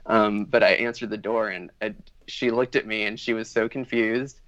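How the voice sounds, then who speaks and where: A man's voice: fairly low pitch, normal speed, lo-fi sound, slight background noise. A man, a bagpiper guest on a podcast, telling casual stories and acting out what people said. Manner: animated anecdotes with acted-out quotes. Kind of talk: casual storytelling.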